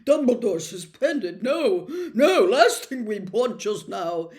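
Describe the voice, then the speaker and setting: A woman puts on a flustered male official from a storybook. He blusters loudly and anxiously, stammering his protests in an alarmed, raised voice. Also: medium pitch, sing-song, takes it slowly. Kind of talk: storybook character reading.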